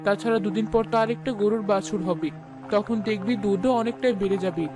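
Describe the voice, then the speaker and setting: Cartoon role: practical older village wife played by a woman, coaxing, medium pitch, music bed. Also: quick pace, natural voice. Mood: gentle, soothing. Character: gentle, practical ageing village mother and wife. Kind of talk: Bangla cartoon story dialogue.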